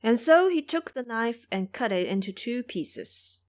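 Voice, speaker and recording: Very animated female storyteller. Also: a little high, a little slow. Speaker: narrator. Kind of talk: expressive scripted storytelling narration.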